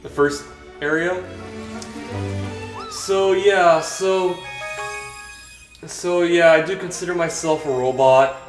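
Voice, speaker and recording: A young man with a dry, monotone voice chatting hesitantly while he plays a video game, stumbling through many fillers and restarts. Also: fairly high pitch, takes it slowly, pauses often, recorded from a distance. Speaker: vlogger. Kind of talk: rambling chat while playing a video game. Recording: echoey room with game music behind.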